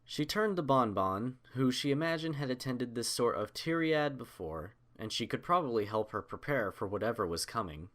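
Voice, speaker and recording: A man's voice: mid-range voice, lively intonation, normal speed, few pauses, fairly bright tone. A man reading scripted fiction as an audiobook narrator.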